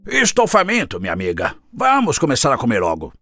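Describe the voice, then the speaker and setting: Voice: medium pitch, wildly swooping pitch, brisk, fairly bright tone, no room sound, faint music behind, heavily acted. An actor voicing a swooping, theatrical cartoon fox. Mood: cheerful, eager. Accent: Brazilian. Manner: exaggerated, theatrical delivery with big swoops. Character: sly, cheerful, polite fox neighbour, fond of eating. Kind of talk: children's cartoon dubbing.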